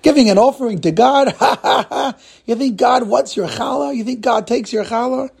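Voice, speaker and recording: A man voicing a scornful synagogue cleaner in a told religious story, loud, incredulous and mocking. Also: sits high, animated, fast, vocal fry, slightly rough, pitched above the performer's own voice, heavily acted.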